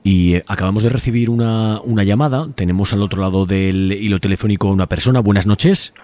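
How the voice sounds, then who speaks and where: A male radio host with a Spanish accent and a fairly low voice. He talks quickly and conversationally, prompting his guest with a straight face and frequent tag questions. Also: a little mellow, no echo, soft background music. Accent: Spain. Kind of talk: mock-serious conversational interview.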